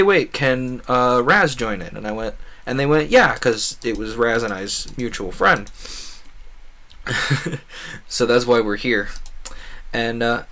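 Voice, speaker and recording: A young adult man gives loose, casual gameplay commentary in a mid-pitched voice. He talks in an animated, rambling way and slips into quoted back-and-forth as if chatting with friends. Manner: animated, acts out quoted conversations. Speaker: video game streamer.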